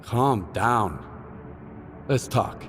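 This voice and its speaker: A man's voice: medium pitch, animated, very slow, slight background noise. A man playing a terse, tough wasteland mercenary in a video game, matter-of-fact in manner. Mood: firm, controlled. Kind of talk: video game cutscene acting.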